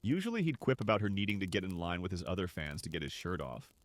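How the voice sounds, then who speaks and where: Deep, expressive male story narrator. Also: fast, no room sound. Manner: expressive, dramatic. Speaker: narrator and voice actor. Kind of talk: scripted dramatic story narration.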